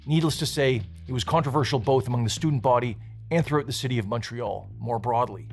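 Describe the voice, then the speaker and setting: A man's voice: medium pitch, fast talker, a little mellow, soft background music. A man hosting a podcast, narrating a scripted documentary story in a conversational way.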